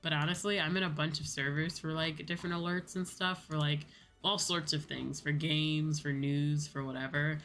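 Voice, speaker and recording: A woman's voice: low-pitched, level tone, moderate pace, a bit bright. A woman chatting casually and in a rambling way with viewers on a livestream. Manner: rambling, conversational, casual swearing. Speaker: VTuber livestreamer. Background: background music.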